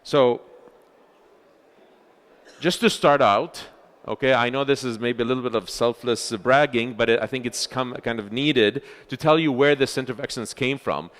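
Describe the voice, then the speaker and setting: A man giving a conference talk as chair of a research center, speaking loudly into a microphone with frequent filler sounds and restarts. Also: medium pitch, moderate pace. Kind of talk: conference presentation. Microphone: handheld or stage microphone.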